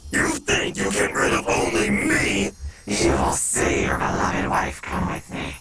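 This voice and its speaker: An actor playing a vengeful demonic spirit of misery in a radio drama, menacing, with hissed whispers and shouts. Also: male voice, very deep voice, wildly swooping pitch, a little slow, heavily acted. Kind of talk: melodramatic radio drama acting. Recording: loud music bed. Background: loud music.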